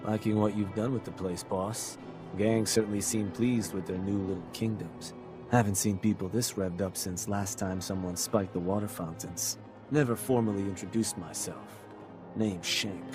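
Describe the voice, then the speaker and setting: An actor playing a cocky, scheming raider adviser in a video game, talking in a persuasive, sardonic way. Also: male voice, lowish voice, steady tone, normal speed, music in the background, close to an everyday voice. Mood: wry, sly. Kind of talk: video game character dialogue.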